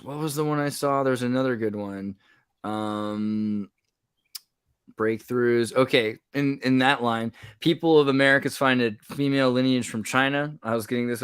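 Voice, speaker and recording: A man hosting a podcast, talking casually in an animated, rambling way that often breaks off mid-sentence. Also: mid-range voice, clean tone, dry recording, clean studio sound. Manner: animated, rambling, self-interrupting. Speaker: podcast host. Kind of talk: casual conversational podcast chat.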